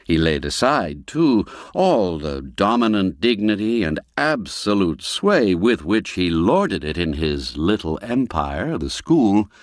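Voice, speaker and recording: Guy reading an old story out loud, really slow, voice rises and falls a lot, measured storyteller delivery. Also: deep voice, very animated, no room sound, clean studio sound. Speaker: narrator and audiobook reader. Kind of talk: scripted literary storytelling narration.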